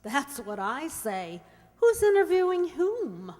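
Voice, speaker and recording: A woman's voice: sits high, big pitch swings, slow pace, darkish tone, exaggerated voice. A woman playing a guarded, wry press photographer in a radio play. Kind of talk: radio play acting of a 1940s screwball comedy. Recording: reverberant hall stage recording.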